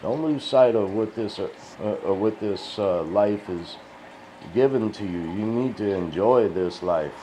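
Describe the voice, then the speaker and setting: A man's voice: fairly low pitch, a little slow, mellow. A man rambling in a casual, profane, folksy monologue, sounding tired and dry-mouthed. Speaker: crypto video host. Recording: dull lo-fi sound with faint hiss.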